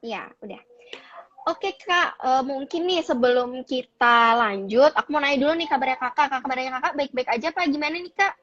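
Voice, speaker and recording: A woman's voice: high voice, brisk, fairly bright tone, lo-fi sound. A woman hosting a live online talk, chatty and mixing formal greetings with casual phrasing. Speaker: host.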